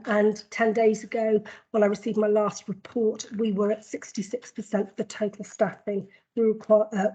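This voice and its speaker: A woman with a cold and a husky voice, presenting a formal report at a board meeting in a measured way, with frequent ums and repeated words. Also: on the high side, mellow, highs cut off. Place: board meeting, video call.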